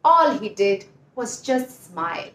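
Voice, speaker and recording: Lady doing storytime for kids, really slow and expressive, roomy echo. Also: a little high. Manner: expressive, deliberate, questions the listeners directly. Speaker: children's storyteller. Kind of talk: animated scripted storytelling for children.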